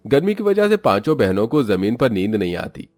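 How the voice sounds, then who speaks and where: A man's voice: fairly low pitch, sing-song, fairly quick, darkish tone, no room sound. A man narrating a scripted moral story as its storyteller.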